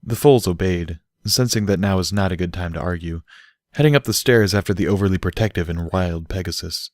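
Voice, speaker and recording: A man narrates a story in a low voice, recorded dry and close. He reads scripted prose as an audiobook reader. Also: a little fast, no room sound.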